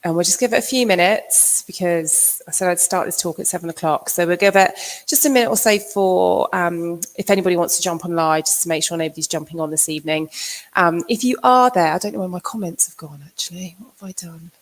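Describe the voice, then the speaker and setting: A middle-aged woman, husky from a cold, talks through a live stream as a fitness coach. Her voice sits low and turns gravelly at the ends of phrases. She chats along with frequent ums. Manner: chatty, fills pauses with um. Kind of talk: casual livestream talk.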